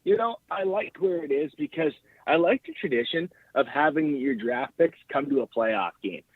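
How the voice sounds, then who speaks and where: A man's voice: on the high side, expressive, normal speed, no room sound. A man with an Ontario Canadian accent giving casual, enthusiastic sports analysis as a guest caller, often checking his points with "right?". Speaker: podcast host calling in as guest analyst. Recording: narrow phone-line call.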